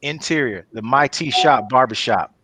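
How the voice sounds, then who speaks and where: A man's voice: medium pitch, normal speed, pushed and bright, a little hiss or hum. A man reading stage directions as narrator of a comedy table read.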